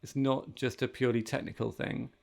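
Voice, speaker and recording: A man's voice: medium pitch, level tone, a little fast, gravelly, a little mellow. A man chatting casually as a podcast host on a remote call. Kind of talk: casual chat. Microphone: remote video call setup with headphones.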